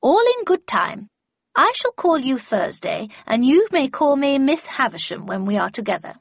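Woman performing commanding older woman, sharp-tongued, brusque, audiobook character, husky edge, roomy dull recording. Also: sing-song, moderate pace, exaggerated voice. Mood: stern, commanding. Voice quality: low growl at times. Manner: brusque, commanding, brooks no argument.

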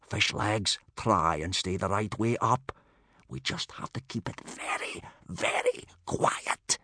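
A man's voice: on the low side, slow, bright, forceful tone, no room sound, clean studio sound, heavily acted. A man voicing a bossy, blustering Viking instructor, commanding and exasperated, in an audiobook story.

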